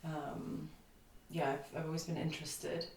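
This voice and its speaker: A woman's voice: sits low, little pitch movement, measured pace, a little mellow, slight background noise. A woman in her thirties who grew up in Lithuania and whose first language is Lithuanian. She is a guest in an interview, talking reflectively and rambling with many fillers. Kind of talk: casual interview conversation. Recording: echoey room, distant mic, faint ambience.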